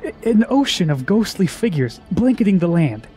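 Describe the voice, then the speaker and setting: A man's voice: sits high, sing-song, moderate pace, mellow, faint music behind. A man playing a shaken, defeated young warrior in a fantasy radio drama, giving his report in a dramatic, fervent manner. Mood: shaken, grave.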